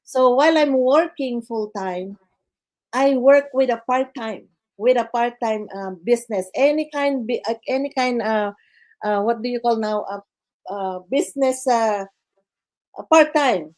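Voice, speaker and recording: An older woman from the Philippines with a Filipino accent, telling her life story as a guest in a casual interview, halting and full of fillers. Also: on the high side, a little mellow. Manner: halting, many "uh" fillers, says "guys" and "okay" often.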